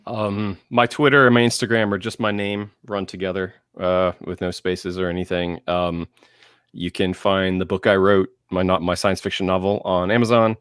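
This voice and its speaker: A man chatting casually as a podcaster on a panel, with a halting, self-correcting delivery. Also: sits low, little pitch movement, fairly bright tone, clean tone, dry recording, studio quality.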